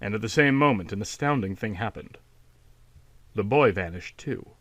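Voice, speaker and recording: A man's voice: on the low side, lively intonation, normal speed, a bit bright, no echo, voice pushed down. Man reading as a grave, reserved artist, dry sound. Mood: grave, unsettled. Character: reserved male artist recounting an uncanny sight. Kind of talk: storybook character dialogue.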